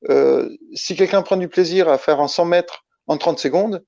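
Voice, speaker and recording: A man from Italy speaking as a guest in a casual interview, halting and restarting his phrases as he explains. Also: a little high, vocal fry, mellow. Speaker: interview guest, ultra-trail runner. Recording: dull lo-fi, roomy echo.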